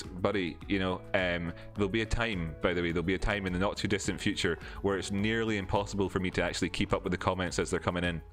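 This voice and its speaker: A man's voice: on the low side, a bit bright. A Scottish man hosting a trading livestream, chatting casually with viewers and frankly repeating words for emphasis. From Scotland. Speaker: crypto trading livestream host. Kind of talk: casual livestream chat reading viewer comments. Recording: faint music under the voice. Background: faint background music.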